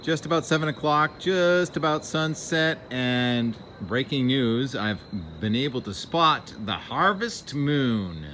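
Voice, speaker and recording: A man's voice: mid-range voice, animated, slow pace, few pauses, a bit bright. A man vlogging casually outdoors on a phone, musing aloud about the sky and weather in short, enthusiastic remarks. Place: outdoors, beach and waterside. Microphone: handheld phone. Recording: clear outdoor ambient and vehicle noise.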